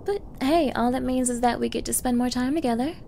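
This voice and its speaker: Girl voice acting a clingy yandere girlfriend asmr, flustered n coy, fake ditzy, bit of vocal fry, faint background noise. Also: a little high, fairly quick, dark tone. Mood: flustered, eager. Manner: stammering, coy, eager, breathy asides. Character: flustered, clingy young yandere woman feigning ditzy innocence. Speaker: roleplay voice performer.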